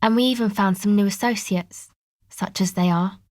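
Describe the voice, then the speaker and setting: A cool, guarded young woman from a video game, played by an actress, talks with casual, slangy understatement. Her voice sits at an average female pitch and is laid-back and dry in delivery. The recording is close and free of room sound. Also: normal speed, a little mellow, dry recording, close to an everyday voice. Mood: awkward, grateful. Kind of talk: video game dialogue acting.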